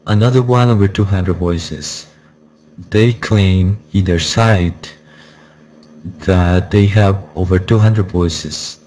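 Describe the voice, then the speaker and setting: Very deep-voiced man, slow, some noise. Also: darkish tone. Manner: deliberate, repetitive, disapproving. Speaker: software reviewer. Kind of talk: casual spoken software review.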